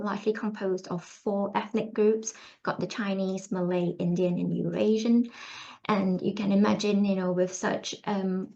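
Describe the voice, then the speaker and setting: A woman's voice: mid-range voice, a little mellow, muffled recording. Singaporean woman presenting on a call. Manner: chatty, many ums, laughs lightly. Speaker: researcher presenting. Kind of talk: online forum presentation. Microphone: video call.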